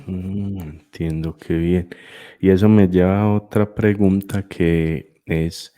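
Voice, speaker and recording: A man's voice: deep voice, steady tone, slow, dark tone, no room sound, clean studio sound. A male podcast host giving brief acknowledgments and asking long, rambling questions. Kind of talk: casual interview questions.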